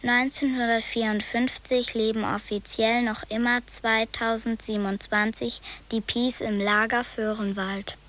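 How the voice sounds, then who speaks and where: A woman narrator reading chronicle entries in a slow, deliberate way. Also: a little high, little pitch movement, a bit bright.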